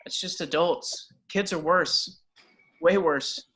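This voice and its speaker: A man's voice: on the high side, slow pace, sharp tone, breathy edge, full character voice. A man reading as a shy, bookish teenage boy, hesitant and earnest in a table read.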